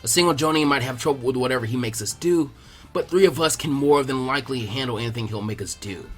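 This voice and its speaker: A laid-back, clever teenage ninja boy from an anime fan story, voiced by a male reader. He sounds weary and calm, measured and matter-of-fact, with music underneath. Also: medium pitch, voice pushed down, lightly acted. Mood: calm, firm. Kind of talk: fan fiction character reading. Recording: music behind the voice.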